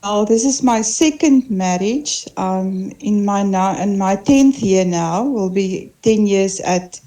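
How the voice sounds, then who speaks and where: A middle-aged woman with a South African accent, whose first language is Afrikaans, co-hosts a relaxed online radio show. She speaks slowly and pauses to hunt for the right English word. Her voice is warm and mellow. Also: mid-range voice, low-quality recording. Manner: hesitant, searching for words. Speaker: co-host. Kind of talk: casual internet radio chat.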